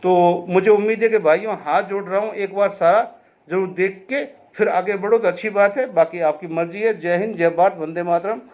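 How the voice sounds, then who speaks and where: A man with a high-pitched voice delivers emphatic commentary straight to his viewers. He speaks on veterans' rights, explains points at length and keeps tagging on a checking question. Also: normal speed, darkish tone, lo-fi sound. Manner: emphatic, addresses listeners directly; repeats a tag question often. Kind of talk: direct-to-camera commentary.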